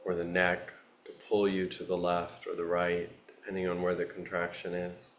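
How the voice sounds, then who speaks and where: A man leading a guided meditation as a teacher, speaking in a soothing, unhurried manner with long pauses. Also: sits low, monotone, slight background noise. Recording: roomy, echoing space.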